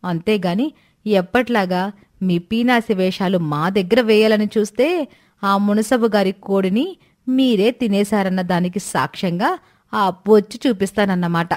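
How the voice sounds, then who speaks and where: A woman's voice: medium pitch, measured pace, a little mellow, close to an everyday voice. A woman voicing a cheeky, scheming young village woman in a moral storybook tale, bold, coaxing and insistent.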